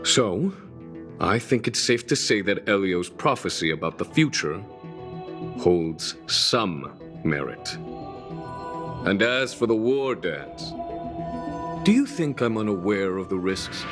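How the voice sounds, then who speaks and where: A male actor playing a calm, shrewd, world-weary general in a video game, speaking in a measured, formal way. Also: deep voice, full character voice. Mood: calm resolve. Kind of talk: scripted video game dialogue. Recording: game music behind the voice.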